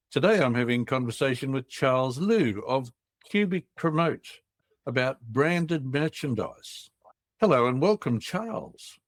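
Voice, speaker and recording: A man's voice: mid-range voice, no echo, studio quality. A man hosting a business podcast, interviewing in an upbeat, jovial way. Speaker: podcast host. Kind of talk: casual interview chat.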